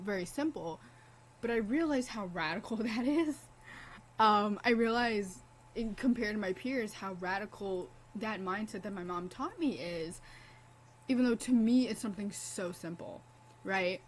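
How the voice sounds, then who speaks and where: A woman's voice: mid-range voice, animated, normal speed, slight background noise, rough recording. A young woman streaming a game in casual commentary, blunt, profane and impassioned as she talks.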